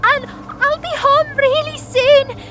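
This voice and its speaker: Very high, earnest young woman, acted out. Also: steady tone, slow pace. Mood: tearful pleading. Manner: pleading, emotional calling out. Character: earnest, tender-hearted, tearful young woman. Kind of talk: audio drama acting.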